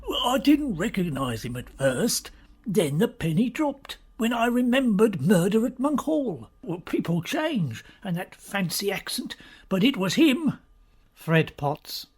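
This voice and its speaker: Rambling, gossipy theatre stagehand played by an actor, swooping pitch. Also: big pitch swings, a little slow, darkish tone, husky. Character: rambling, chatty old theatre stagehand turned witness, male. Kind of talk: stage-style radio murder mystery acting.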